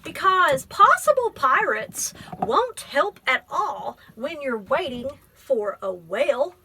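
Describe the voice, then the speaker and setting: A woman's voice: high-pitched, sing-song, slow, sharp tone, slight background noise, rough recording. A woman library teacher reading a picture book aloud, explaining things simply and prompting with questions.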